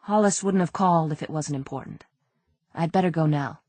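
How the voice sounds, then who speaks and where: A practical, determined woman, low voice, played by the narrator. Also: fairly quick, warm tone, no room sound, close to an everyday voice.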